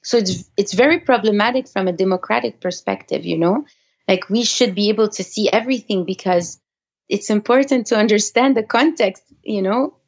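A woman whose first language is French speaks as an interview guest. She explains her ideas conversationally at a middling pitch. The recording is slightly dull and lo-fi. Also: normal speed. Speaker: interview guest, activist technologist.

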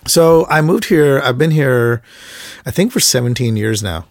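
A man in his thirties who grew up in India talks casually as a podcast guest, his voice gravelly with fry. He stumbles through many restarts and fillers, then livens up to act out the people he quotes. The sound is dry and close. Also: mid-range voice, unhurried, no room sound. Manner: hesitant, lots of stammered restarts and fillers; animated, acts out quoted voices, laughs. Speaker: podcast guest, creative director. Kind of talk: casual interview storytelling.

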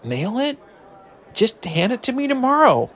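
A man's voice: very high voice, sing-song, unhurried, fairly bright tone, some chatter behind, exaggerated voice. A man playing an eager, bashful but brash ninth-grade boy in an audio drama. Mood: eager, sheepish.

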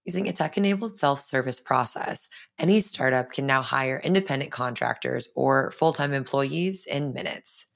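Young woman podcast host, really low voice, lots of fry, interview style. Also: normal speed, few pauses. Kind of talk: scripted introduction and interview questions.

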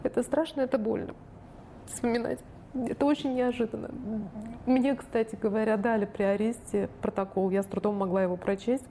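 A woman's voice: a little high, steady tone, brisk, warm tone, lightly acted. A woman giving a first-person recollection of a personal ordeal. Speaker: reader of interview testimony. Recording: faint background noise.

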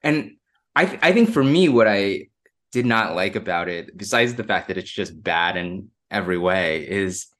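Man, lowish voice, casual podcast chat, thoughtful, hedging. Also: animated, normal speed, fairly bright tone. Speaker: podcast co-host.

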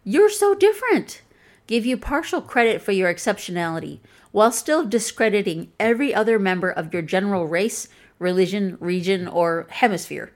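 A woman's voice: mid-range voice, a little fast. Wry woman with a Chicago accent. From Chicago, USA. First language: English. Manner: wry, pointed and dryly humorous. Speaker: video essayist. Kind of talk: scripted commentary.

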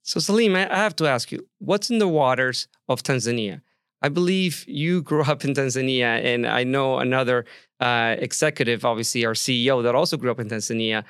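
Smooth-voiced male interviewer, dry sound. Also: mid-range voice, a little fast, a bit bright, no room sound. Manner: friendly, curious questioning. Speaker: podcast host. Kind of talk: conversational interview questions.

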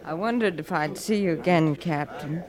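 A woman's voice: deep voice, a little mellow, natural voice. Woman playing a wounded, bitter frontier widow. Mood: subdued, wistful. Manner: halting, emotionally strained. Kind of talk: old-time radio western acting.

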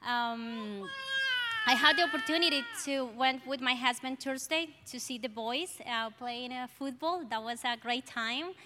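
A woman with a strong Colombian accent giving a church testimony, halting with many fillers. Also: high-pitched. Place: church sanctuary. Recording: church hall reverb, light noise.

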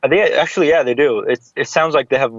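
A man's voice: medium pitch, fast talker, dry recording. Rambling man with slightly dull audio. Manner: rambling, many fillers and restarts, casual. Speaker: podcast guest. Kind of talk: casual podcast chat.

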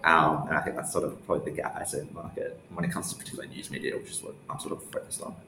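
Casual young male guest, deep voice, halting delivery, echoey room. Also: level tone, creaky. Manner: casual, halting, thinking aloud. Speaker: interview guest, newsletter founder. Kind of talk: casual interview answers. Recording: roomy echo, faint background noise.

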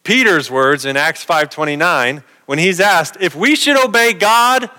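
A man's voice: fairly high pitch, animated, a little slow, pushed and bright, in a large hall. A middle-aged man preaching a sermon in a conversational way, posing questions to his listeners.

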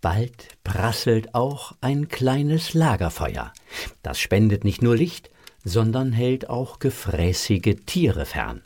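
A man with a fairly low voice tells a children's story from a script. He goes slowly and deliberately, with lively rises and falls that make the narration expressive.

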